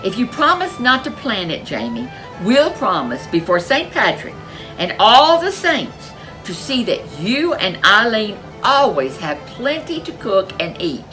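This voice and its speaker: A woman's voice: medium pitch, sing-song, unhurried, bright, forceful tone, faint music behind, voice pushed up, poor audio. A woman voicing a crowd of worried villagers in a storybook folk tale. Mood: pleading. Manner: pleading, wailing.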